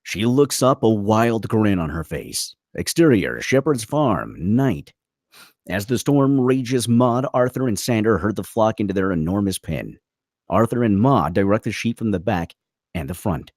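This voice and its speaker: A man with a low voice narrates a screenplay, reading scene headings and action. His delivery is dramatic with lively swings in pitch, recorded close and dry. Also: measured pace, dry recording. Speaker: narrator reading stage directions. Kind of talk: dramatic scripted narration at a table read.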